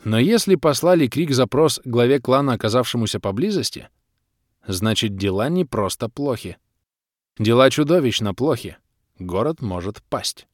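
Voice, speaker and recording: A man's voice: mid-range voice, lively intonation, moderate pace, dry recording, clean studio sound, close to an everyday voice. A man voicing a seasoned adventurer who advises companions emphatically in a fantasy audiobook. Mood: grave.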